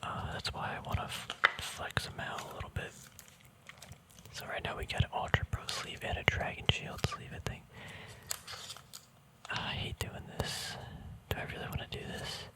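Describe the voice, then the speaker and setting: A man whispering casual ASMR to viewers in a breathy, unvoiced voice, rambling and thinking aloud without hurry, very close to the mic. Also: normal speed, poor audio. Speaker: ASMR host. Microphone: mic very close to the mouth and nose.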